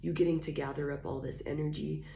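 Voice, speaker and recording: A woman giving a casual tarot reading. She is hoarse from being sick and talks softly and slowly, with fillers. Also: low-pitched, little pitch movement. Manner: soft, slow and drawn-out, with scattered fillers. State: hoarse, recovering from illness, coughing. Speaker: tarot reader. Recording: echoey room, faint noise.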